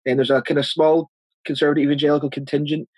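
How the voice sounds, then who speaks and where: Fast-talking Northern Irish man. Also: on the high side, little pitch movement, darkish tone, no room sound. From Northern Ireland. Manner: earnest, chatty, frequent restarts and fillers. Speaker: church planter. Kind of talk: interview answers, casual.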